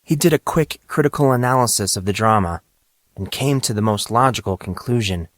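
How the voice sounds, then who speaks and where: A man's voice: fairly low pitch, a little fast, dry recording. Male storybook narrator, very dry sound. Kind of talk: scripted audio drama narration.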